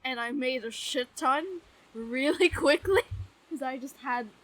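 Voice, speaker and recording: A woman in her twenties chatting casually as a podcast co-host, gushing, excitable and quick to swear. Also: sits high, rough recording. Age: 20s. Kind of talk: casual fan podcast chat.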